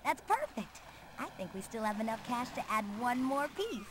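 A woman with an American accent playing an excitable, gushing young comic artist in a video game. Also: fairly high pitch, normal speed, background noise. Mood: enthusiastic. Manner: gushing, slangy. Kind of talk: video game cutscene acting.

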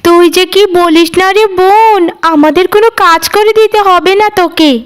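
A woman voicing a scheming eldest sister in a cartoon, a falsely sweet young woman who coaxes with drawn-out phrases. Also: extremely high pitch, pitched above the performer's own voice. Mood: coaxing, falsely sweet.

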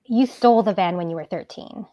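A woman's voice: mid-range voice, brisk, mellow, natural voice. A young woman reading a sarcastic, exasperated twenty-year-old daughter over a video call.

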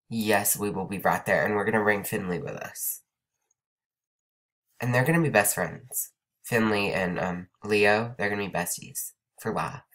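Stuffy-nosed young woman chatting slowly. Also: very deep voice. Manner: chatty, rambling, says "like" and "um" often. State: stuffed up from a cold. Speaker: let's play host. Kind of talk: casual gameplay commentary.

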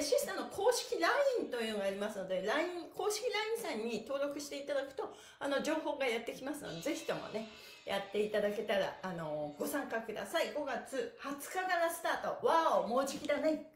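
A middle-aged woman teaching an upbeat live-streamed stretch class in an exuberant, cheerleading way, in a small room a step or two from the camera mic. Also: high voice. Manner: exuberant, cheerleading, chanting counts and slogans; laughs often while talking. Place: small indoor room with bare walls and wooden floor. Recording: echoey small room, some distance from mic.

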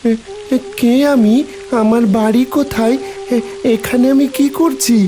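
A woman's voice: fairly high pitch, steady tone, a little slow, over music, lightly acted. A woman voicing a sweet, innocent little lost boy in a cartoon. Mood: confused. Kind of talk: cartoon fairy-tale voice acting.

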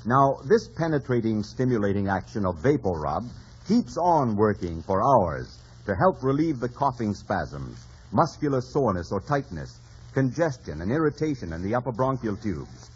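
A husky male announcer with a lively, swooping pitch reads a vintage radio commercial. His sales delivery is emphatic, on a muffled old broadcast. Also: moderate pace.

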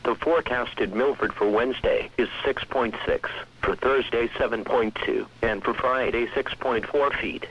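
A synthetic American male voice reading a scripted weather bulletin in a measured, impersonal manner. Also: medium pitch. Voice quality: synthetic text-to-speech voice. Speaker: weather radio announcer. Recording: dull lo-fi weather radio broadcast.

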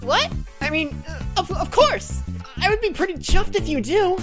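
A tiny, eager cartoon fox cub, extremely high and swooping, excitable and easily flustered. Music plays clearly behind. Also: female voice, normal speed. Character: eager, flustered little fluffy fox creature. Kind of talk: animated comic dub acting.

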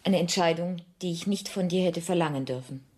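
A female actor as a sombre woman whose love has soured, in a radio drama. Her voice sits a little low and is noticeably husky. She speaks with measured, deliberate gravity. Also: darkish tone, natural voice. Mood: firm, resigned. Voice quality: husky, breathy. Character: disillusioned, grave woman ending a love affair. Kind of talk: German radio play acting, intimate dialogue.

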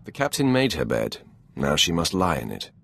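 An actor playing a menacing, imperious Sith lord in a video game, gravelly and coldly commanding. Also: male voice, low-pitched, wildly swooping pitch, moderate pace, a bit bright, heavily acted. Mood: disdainful. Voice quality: gravelly, raspy. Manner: cold, commanding.

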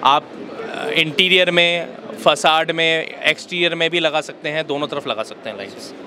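A man's voice: fairly high pitch, moderate pace, bright, forceful tone. A man in his thirties from India, with an Indian accent, explaining products as a company representative in an interview. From Jaipur, India. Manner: repeats short affirmations. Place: trade expo booth. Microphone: handheld microphone. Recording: crowded exhibition hall noise. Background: crowd hubbub.

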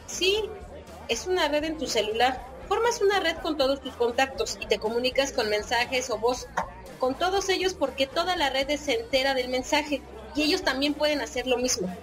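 A bossy but friendly Mexican organizer, played by a woman in a radio soap. Her voice sits high. She talks colloquially and directs everyone. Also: animated, moderate pace, music in the background, rough recording. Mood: brisk, organizing. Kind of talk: radio soap opera acting.

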